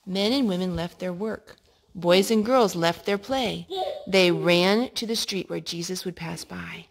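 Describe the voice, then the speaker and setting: A woman reading a picture book aloud to a child in an expressive way, pausing to ask questions. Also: fairly low pitch, vocal fry. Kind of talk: storytime read-aloud. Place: living room indoors at a couch.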